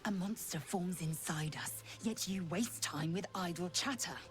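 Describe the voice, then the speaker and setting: A woman's voice: lowish voice, measured pace, faint music behind, natural voice. A woman playing a fierce alien warrior in a fantasy game, stern and menacing, with clipped, imperious, formal speech. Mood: stern, threatening. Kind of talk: fantasy video game acting.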